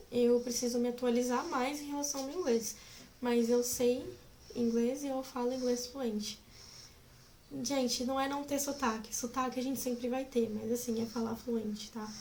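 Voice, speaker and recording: A woman's voice: fairly high pitch, a little mellow, slight background noise. A woman in her twenties chatting casually to camera as a vlogger, giggly and rambling. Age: 20s.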